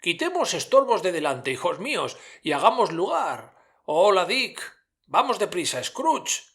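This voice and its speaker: A jovial old merchant from a storybook, hearty and rich-voiced, played by a male narrator. He calls out loud and jolly, his voice pushed far above the narrator's own. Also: high voice, sing-song, measured pace, breathy edge, pitched above the performer's own voice, heavily acted. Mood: jolly, booming.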